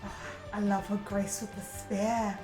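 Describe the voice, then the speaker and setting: An excitable, flirtatious woman reacting live to game trailers, gushing, gasping and echoing phrases she hears. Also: medium pitch, a little slow, music in the background. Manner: gasps and exclaims, echoes heard phrases. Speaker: gaming reaction host.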